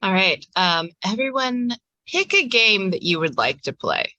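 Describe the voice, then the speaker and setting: A woman's voice: medium pitch, big pitch swings, measured pace, sharp tone, no room sound, low-quality recording. A woman running a tabletop game as game master, chatting casually with her players.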